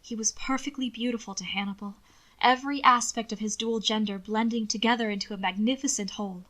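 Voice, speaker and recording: A woman's voice: on the high side, steady tone, fast talker, a bit bright. A woman reading scripted fiction as an audiobook narrator. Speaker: podfic narrator. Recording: occasional static crackle from microphone.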